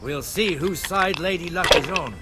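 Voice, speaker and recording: An actor playing a gruff, talkative medieval town guard in a video game, plain-spoken and bossy. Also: male voice, fairly high pitch, moderate pace, over loud music, lightly acted. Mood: relaxed, companionable. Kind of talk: video game dialogue acting.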